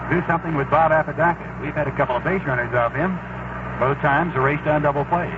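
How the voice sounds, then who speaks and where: Higher-voiced man on very noisy baseball radio. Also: fast. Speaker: baseball radio play-by-play announcer. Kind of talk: 1970s live baseball commentary with scores and asides. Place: stadium broadcast booth. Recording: very noisy, dull 1970s AM radio broadcast.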